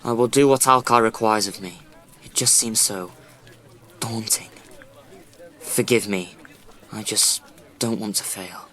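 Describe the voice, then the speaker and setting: A man's voice: lowish voice, steady tone, measured pace, long pauses, sharp tone. A man acting as a dutiful, self-doubting hero in a fantasy audio drama, earnest and hesitant. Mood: anxious, humble.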